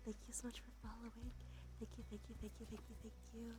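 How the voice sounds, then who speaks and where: A woman speaking softly and unhurriedly in a chatty ASMR livestream style, often slipping into a breathy whisper, close to the microphone. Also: a little high, little pitch movement, quick pace. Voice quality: breathy whispery delivery with creaky fry. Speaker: streamer. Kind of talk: soft-spoken ASMR livestream, drifting into whispers. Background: music.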